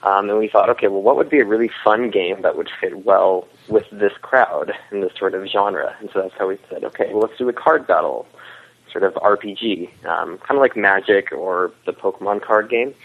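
Low-voiced man on a tinny phone line. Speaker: interview guest, web series creator. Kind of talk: casual interview chat. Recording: tinny, muffled phone-like call audio.

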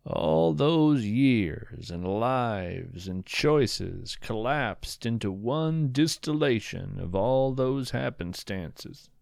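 Slow, expressive man narrating reflectively. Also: on the low side, gravelly, no room sound, studio quality. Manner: musing, deliberate, savoring words. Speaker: host and narrator of a storytelling podcast. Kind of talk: scripted, reflective, poetic narration.

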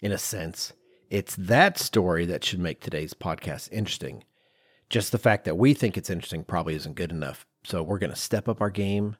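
A middle-aged man co-hosting a podcast in a conversational, animated and emphatic way, with some self-deprecation. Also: deep voice, fairly quick, slightly rough, no room sound, studio quality. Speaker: podcast co-host.

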